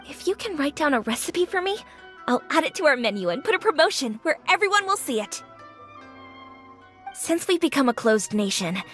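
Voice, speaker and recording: An actress playing an enthusiastic, friendly young restaurant owner in a video game, full of exclamations. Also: female voice, sits high, a bit bright.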